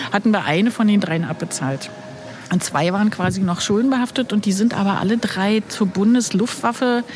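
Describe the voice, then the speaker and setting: A woman's voice: mid-range voice, background noise. A woman, a guest telling stories in a casual interview, chatty and full of fillers. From Berlin. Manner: chatty storyteller with many fillers. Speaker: guest, former flight attendant.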